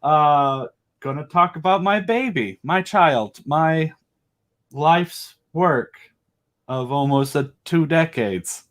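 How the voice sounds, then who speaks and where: A man's voice: fairly high pitch, slow, fairly bright tone. Jokey man chatting as a podcast guest. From southern United States. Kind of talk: casual chat, telling personal stories.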